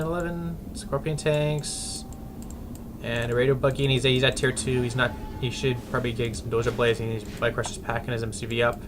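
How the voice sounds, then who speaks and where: A man casting a strategy game replay, halting with fillers and restarts and breaking into bursts of excitement. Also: mid-range voice, background noise. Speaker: game commentator. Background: background music and keyboard typing.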